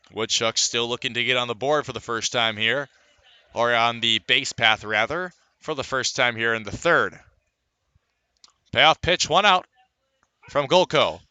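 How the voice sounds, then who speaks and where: A man's voice: medium pitch, measured pace, long pauses, bright, forceful tone, smooth, no echo. A man calling baseball live as a radio play-by-play announcer, fluently reciting stats and lifting his delivery on each play. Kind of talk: live sports play-by-play commentary.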